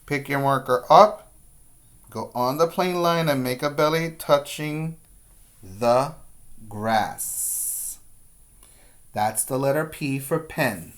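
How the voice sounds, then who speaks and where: A man's voice: mid-range voice, takes it slowly, pauses often. A man working as a preschool teacher, giving simple step-by-step instructions in a lesson for young children. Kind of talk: read-aloud and handwriting lesson for young children.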